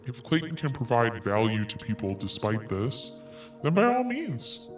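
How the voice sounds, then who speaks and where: A man hosting a podcast, reading an email out loud in a mocking tone and breaking in with snarky asides. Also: medium pitch, wildly swooping pitch, measured pace, far from the mic, soft background music. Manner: sarcastic, cutting in with snarky asides. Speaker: podcast host. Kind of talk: commentary, reading an email aloud.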